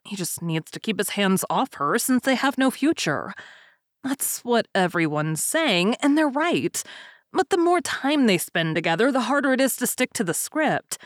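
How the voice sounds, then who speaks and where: A woman's voice: mid-range voice, dry recording, studio quality. A woman hosting a podcast, reading a book blurb and then asking her guest questions. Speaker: podcast host. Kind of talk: podcast interview.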